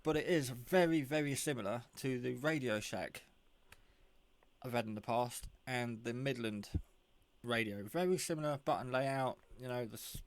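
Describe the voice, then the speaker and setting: A man talks through a gadget in an informal, rambling way. His voice sits at an ordinary middle pitch, and the sound is fairly dry and close. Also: moderate pace, no echo. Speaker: radio hobbyist showing a handset. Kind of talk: casual product show-and-tell.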